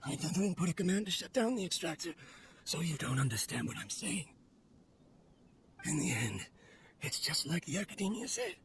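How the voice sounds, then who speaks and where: A man's voice: on the high side, very animated, moderate pace, long pauses, a bit bright, slight background noise. A man playing a weary, lonely researcher in a video game monologue, wistful and solemn in manner. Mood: weakened, straining, then despairing, regretful. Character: weary, lonely male researcher obsessed with his machine creation.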